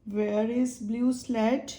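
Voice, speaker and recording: Very slow, high-voiced woman reading to children. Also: little pitch movement, mellow. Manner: simple short phrases, naming items and asking questions. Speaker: children's book reader. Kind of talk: slow read-aloud search-and-find for young children.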